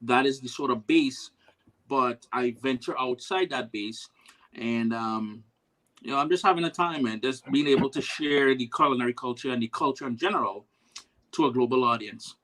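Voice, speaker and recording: A man's voice: mid-range voice, moderate pace. Easygoing Trinidadian man. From Trinidad and Tobago. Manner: relaxed, easygoing, loose. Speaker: panel guest, food blogger. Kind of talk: casual video call panel chat.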